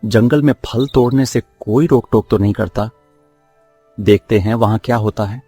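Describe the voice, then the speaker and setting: A man's voice: lowish voice, moderate pace, warm tone, no echo, lightly acted. A man playing a humble, downtrodden and devoted village son in a cartoon story, earnest and pleading. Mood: resigned. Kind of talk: Hindi cartoon story acting. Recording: music behind the voice. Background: music.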